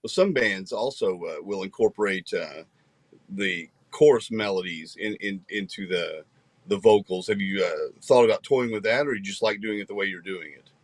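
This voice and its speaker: Low-voiced podcast host, dry sound. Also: male voice, dry recording. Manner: halting, with "uh" restarts. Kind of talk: casual interview chat.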